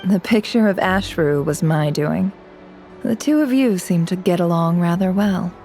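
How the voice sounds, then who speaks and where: Poised, lower-pitched elegant woman. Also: measured pace, warm tone, faint music behind. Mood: composed, curious. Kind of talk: video game cutscene voice acting.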